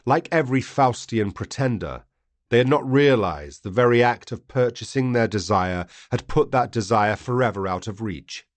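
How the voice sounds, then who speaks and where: Low-voiced man narrating a dramatic audiobook. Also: no room sound. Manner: grave, theatrical, deliberate. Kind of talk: dramatic first-person scripted narration.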